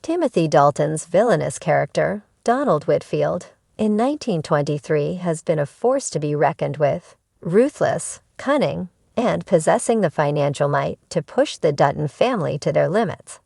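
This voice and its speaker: Woman narrator reading a script, deep for a female voice, lots of vocal fry, really dry sound. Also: lively intonation, moderate pace, hardly any pauses, darkish tone, no room sound. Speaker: narrator of entertainment news video.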